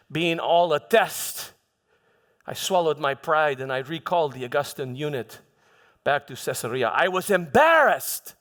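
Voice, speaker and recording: A man playing a proud, vengeful Roman governor in a theatrical monologue, speaking emphatically with halting repetitions and dramatic pauses. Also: a little high, fairly bright tone. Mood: embarrassed, bitter. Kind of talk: dramatic first-person monologue in church. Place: church hall, live performance. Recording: echoey hall with background music.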